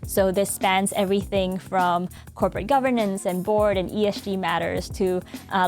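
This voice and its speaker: Young woman, law student, upbeat scripted intro, medium pitch, soft music behind. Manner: upbeat, reads a prepared script with light fillers.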